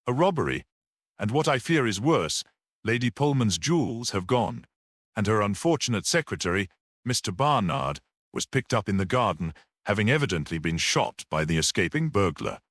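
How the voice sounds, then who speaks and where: A small priest voiced by a male narrator from an audiobook mystery, grave and courteous. He speaks in a measured, reassuring way with lively rises and falls, recorded very dry. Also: on the low side, no echo.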